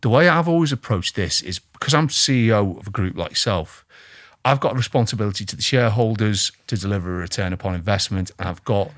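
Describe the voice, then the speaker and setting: A man's voice: lowish voice, animated, fast, a bit bright, no echo, studio quality. A man hosting a podcast interview, an eager interviewer who encourages his guest. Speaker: podcast host, CEO. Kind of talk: interview, casual chat.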